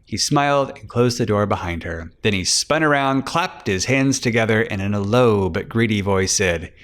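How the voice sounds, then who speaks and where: A man's voice: lowish voice, expressive, moderate pace, fairly bright tone. A man giving scripted narration for a crime fiction audiobook.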